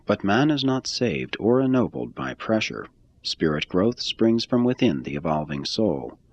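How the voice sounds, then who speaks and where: A man reading a religious text aloud in a measured, even-paced scripted style. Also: lowish voice, dry recording, highs cut off. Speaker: reader.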